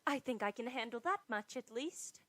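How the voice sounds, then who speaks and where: Woman playing genteel cartoon murder suspect: high bright voice, sweet, pleading, melodramatic. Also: animated, moderate pace. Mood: subdued, polite. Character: distraught sweet young lady murder suspect, genteel and dramatic. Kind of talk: animated murder-mystery parody acting.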